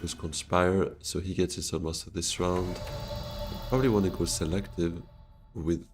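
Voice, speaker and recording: A man's voice: low voice, fairly even intonation, moderate pace, music in the background. A Swiss man, streaming a board game live and thinking his moves through aloud to himself and his chat. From Switzerland. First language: French.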